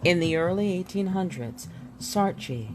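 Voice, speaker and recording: A woman reading a history book aloud expressively, with theatrical emphasis and pauses. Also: fairly low pitch, expressive, low-quality recording. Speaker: book reader. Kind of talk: expressive read-aloud of an illustrated history book, with spoken asides. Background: music.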